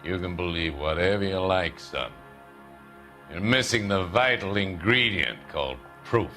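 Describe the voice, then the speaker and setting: Bright-voiced, slick tycoon. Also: male voice, deep voice, animated, measured pace, soft background music, exaggerated voice. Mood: defiant. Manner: folksy, condescending, smooth-talking. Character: slick, self-assured middle-aged male real estate tycoon. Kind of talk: dramatic video game acting.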